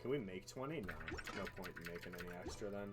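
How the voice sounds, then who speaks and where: A streamer with a voice around a low 107 hertz chats casually during live gameplay. The talk is wry and sweary, with game music loud behind it and keys clattering. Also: expressive, fast talker.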